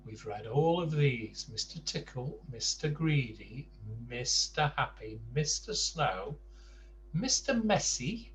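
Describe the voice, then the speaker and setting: Very animated man reading a bedtime story. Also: medium pitch, slow. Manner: expressive, emphatic storytelling. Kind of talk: animated children's storybook narration with casual asides. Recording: distant mic with faint background noise.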